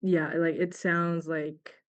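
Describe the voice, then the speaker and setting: A woman's voice: sits low, level tone, slow pace, dull audio. A woman student speaking casually and a little hesitantly on a video call, sharing personal experience. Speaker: student participant.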